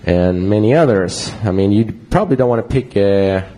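Man, Swedish accent, presenter, rambling casual delivery, husky, warm dark tone. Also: fairly low pitch, expressive, moderate pace, poor audio. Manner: casual, rambling with fillers and restarts. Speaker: conference presenter. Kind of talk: live talk with slides.

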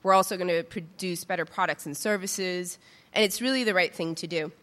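A woman's voice: fairly low pitch, fairly quick, a bit bright. A woman giving a casual, filler-heavy conference talk, a little nervous. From New York. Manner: chatty, informal, many fillers. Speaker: conference speaker. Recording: reverberant hall.

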